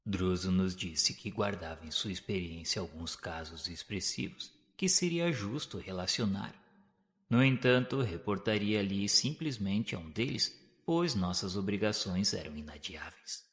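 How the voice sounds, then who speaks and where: Brazilian guy reading a spiritual audio novel, really low voice, solemn and measured, big echoey hall sound. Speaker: narrator. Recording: long hall-like reverb.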